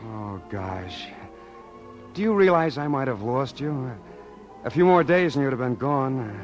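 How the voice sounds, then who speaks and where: Actor as a bashful young suitor, muffled old radio. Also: male voice, mid-range voice, expressive, close to an everyday voice. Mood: eager. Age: young adult. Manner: halting, boyishly sincere. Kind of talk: 1940s radio acting. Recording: dull old radio broadcast, music behind.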